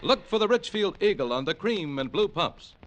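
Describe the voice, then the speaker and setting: A man announcing a 1940s radio program, reading sponsor messages and credits in a crisp, emphatic, formal manner. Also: high voice, expressive, moderate pace, over loud music.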